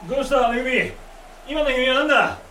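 A man's voice: very high-pitched, fast talker, pushed and bright, slight background noise, low-quality recording. A man playing a serious, concerned expedition companion in an audio drama. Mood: alarmed, concerned. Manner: urgent, serious.

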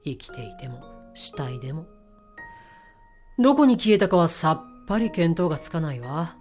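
Woman playing a low-voiced, bossy mission leader. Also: sing-song, moderate pace, a little mellow, soft background music. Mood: grave, explanatory. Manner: blunt, assertive, feminine phrasing. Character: bossy, sharp-tongued, self-assured leader of a secret mission. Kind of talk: audio drama acting.

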